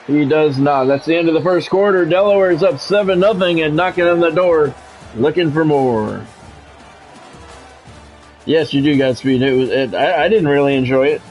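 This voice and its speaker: A man's voice: a little high, moderate pace, darkish tone, dull audio, faint music behind. A middle-aged American man with a blunt, grumbling manner, switching between casual profane chat and sports play-by-play on a livestream. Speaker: game streamer and sports play-by-play announcer.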